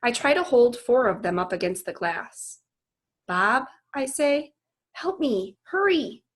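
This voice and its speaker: A woman's voice: a little high, big pitch swings, takes it slowly, husky, pitched above the performer's own voice, heavily acted. A woman voicing an earnest, anguished male gorilla who narrates his own storybook tale in short, emphatic phrases. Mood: urgent.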